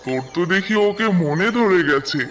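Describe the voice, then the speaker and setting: A man's voice: high-pitched, animated, normal speed, far from the mic, noisy background. A man voicing a joking young male monkey in a cartoon, a loyal and teasing friend. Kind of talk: cartoon dialogue dub.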